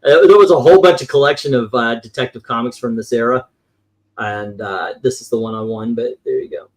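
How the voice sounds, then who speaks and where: Man, mid-range pitch, casual host commentary, rambling, collector show-and-tell, occasionally profane. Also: fairly quick, poor audio.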